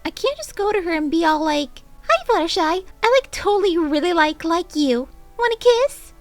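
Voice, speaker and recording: Excitable cartoon pony mare: extremely high, animated, squeaky, nervous rambler, music bed behind. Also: female voice, moderate pace, exaggerated voice. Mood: anxious. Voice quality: girlish, squeaky. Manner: gushing, rambling, exclamatory. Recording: music under the voice.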